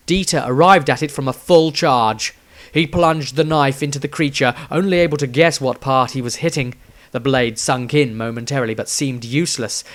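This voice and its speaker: A man's voice: fairly high pitch, a bit bright. Man narrating a fantasy story dramatically. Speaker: author reading his own story.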